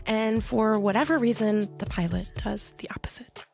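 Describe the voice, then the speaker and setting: A woman with a mid-pitched voice delivers scripted podcast narration as the host. She sets up the next part of the story in full, prepared sentences. Music plays lightly beneath her. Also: moderate pace, creaky, darkish tone. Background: music under the voice.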